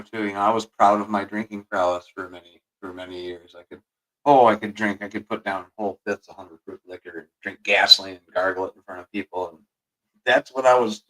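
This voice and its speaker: A man co-hosting a podcast, with a deep voice and a laid-back, slangy way of talking. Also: bright, forceful tone, rough recording. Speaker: podcast co-host. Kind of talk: casual podcast chat.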